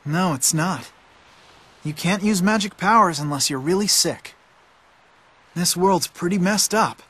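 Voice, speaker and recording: A man's voice: high-pitched, measured pace, fairly bright tone, husky, heavily acted. A man voicing a streetwise, cynical boy in a video game, blunt and matter-of-fact, like a bossy older friend. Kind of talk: video game cutscene voice acting.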